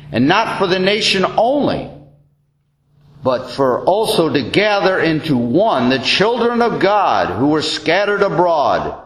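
A man, a deacon, reading the Gospel as a solemn scripture reading, with measured, deliberate and expressive phrasing. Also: a little high. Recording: roomy, echoing church-like sound.

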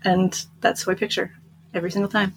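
A woman's voice: medium pitch, level tone, fast talker, gravelly. A giggly, chatty woman co-hosting a book podcast and casually recapping stories.

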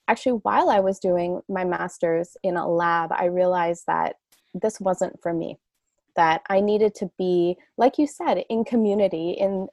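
A woman's voice: lowish voice, darkish tone, dry recording. Earnest Canadian woman, dry close sound. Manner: earnest, reflective, speaks in long flowing sentences. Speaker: guest, coach. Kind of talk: podcast interview.

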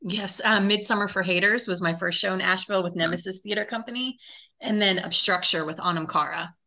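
A woman's voice: medium pitch, fairly quick, bright, forceful tone, poor audio. An American woman in her forties from North Carolina, answering questions as an interview guest in a conversational way. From North Carolina, United States. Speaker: interview guest, actor and movement teacher.

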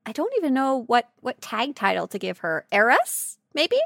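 A woman with a high, bright voice co-hosts a silly gaming podcast. She talks casually and playfully, with an excitable, giggly manner. The sound is close and dry, with no room to it. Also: moderate pace, creaky, dry recording. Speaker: podcast host. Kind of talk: casual comedic podcast chat about a video game.

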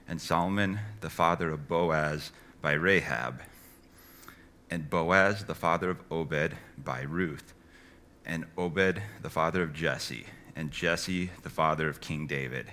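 A man reading a long genealogy from scripture in a slow, steady recitation. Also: very deep voice. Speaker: scripture reader.

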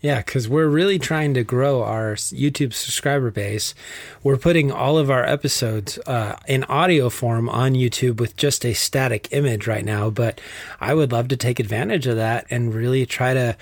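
Male co-host, Texas accent, relaxed rambling chat, dry mic. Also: medium pitch, normal speed, dry recording. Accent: Texan. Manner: easygoing, rambling, laughs easily. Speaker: podcast co-host. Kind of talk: casual podcast chat.

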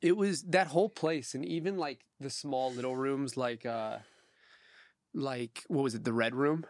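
A young man hosting a podcast, talking in a relaxed, rambling way, swearing casually and laughing often. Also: medium pitch, measured pace, no echo. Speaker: podcast host, producer.

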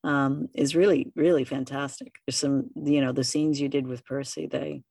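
A woman hosting a podcast interview, speaking in a measured, encouraging way to her guest. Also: extremely low pitch, steady tone, dark tone. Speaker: podcast host and interviewer, writer-producer.

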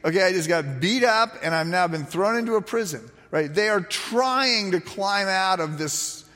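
A man preaching in a big hall, his voice a touch high for a man and raspy whenever he drops to a softer level. He tells his points as lively stories, stopping and restarting often. The sound rings with a long hall echo. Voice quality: raspy when speaking quietly. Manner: animated storytelling with frequent restarts and fillers. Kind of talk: sermon.

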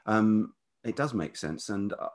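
A middle-aged man from Kent with a southern English accent and a velvety voice, telling personal stories thoughtfully as an interview guest, often pausing mid-sentence. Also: on the low side, animated. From Kent, England. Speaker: podcast guest, writer and musician. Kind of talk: reflective personal storytelling in conversation.

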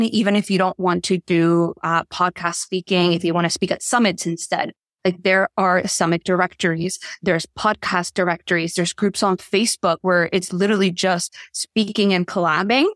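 A woman's voice: fairly low pitch, fairly bright tone, no room sound, studio quality. A woman whose first language is Spanish, talking informally and animatedly as a podcast guest and acting out imagined quotes. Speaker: podcast guest, leadership and visibility coach. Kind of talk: casual interview conversation.

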